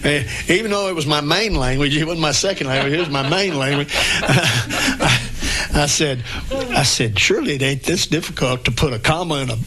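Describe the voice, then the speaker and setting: A man's voice: fairly high pitch, expressive, moderate pace, pushed and bright. A man hosting a local radio talk show. He speaks in a folksy, rambling way with homespun grammar. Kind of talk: casual chat interview.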